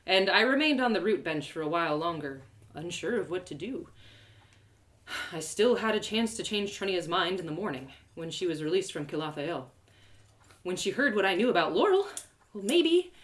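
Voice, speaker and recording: A woman reading a first-person fantasy story aloud in an expressive, theatrical and lyrical way. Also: on the low side.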